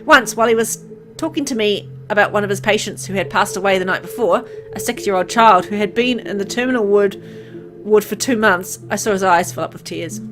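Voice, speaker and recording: A woman reading a horror visual novel aloud with expressive narration and casual asides to viewers. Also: medium pitch, a little fast, faint music behind. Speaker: let's play reader.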